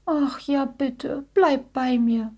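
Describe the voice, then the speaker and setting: A woman's voice: high-pitched, expressive, a little slow, a little mellow, pitched above the performer's own voice. A woman voicing a small, frightened young bear boy in a children's story, with a timid, plaintive manner. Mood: tearful, pleading.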